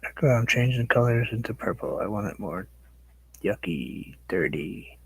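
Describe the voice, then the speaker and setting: A middle-aged man with a raspy voice, rambling casually to viewers as he paints on a live stream. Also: on the low side, animated, unhurried, rough recording. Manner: rambling, talks to viewers while working. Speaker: painting livestream host. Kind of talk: casual chat while painting.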